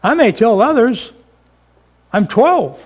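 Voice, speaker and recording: A man's voice: extremely high pitch, big pitch swings, slow pace, husky, lo-fi sound. A man in his seventies preaching a sermon in a folksy, humorous way, full of rhetorical questions. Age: 70s.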